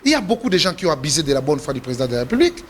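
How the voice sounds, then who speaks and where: A Congolese politician being interviewed, speaking French with a Congolese accent, forcefully repeating and stressing his points, his voice rising when indignant. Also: sing-song, racing, a little hiss or hum. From Democratic Republic of the Congo. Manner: emphatic, repeats himself, pitch jumps when indignant. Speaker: politician guest.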